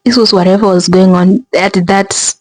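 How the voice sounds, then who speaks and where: A woman's voice: medium pitch, fairly even intonation, normal speed, a little mellow, no room sound. A young woman with a Kenyan accent, talking casually to her viewers in a rambling, self-correcting way. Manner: rambling, many restarts and filler words. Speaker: vlogger.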